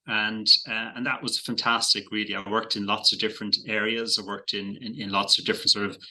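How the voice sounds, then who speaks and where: A middle-aged Irish man with a low voice and a strikingly bright, forceful edge. He talks in an easygoing interview manner, circling back over his words as he finds the right phrasing. His laughs come lightly. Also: flat delivery, brisk, low-quality recording. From Ireland. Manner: thoughtful, repeats words while finding phrasing, light laughs. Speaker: investment guest. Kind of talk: casual interview chat.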